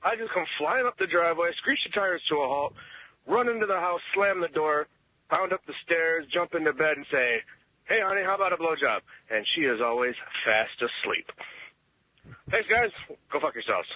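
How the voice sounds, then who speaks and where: A man calling in on a voicemail, telling a joke in deliberate short phrases. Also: fairly high pitch, lively intonation, pushed and bright, no echo. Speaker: voicemail caller. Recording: dull lo-fi voicemail message.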